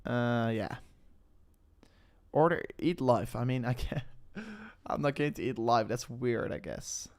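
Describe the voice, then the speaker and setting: A young Dutch-accented man chats casually through a live crypto stream. His voice sits at an everyday middle pitch, and he rambles loosely, trailing off, restarting phrases and filling gaps with "uh" and "I mean." It sounds like relaxed, unscripted talk to a live audience. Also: takes it slowly. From Netherlands. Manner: rambling, self-interrupting, hesitant with fillers. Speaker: crypto livestream host.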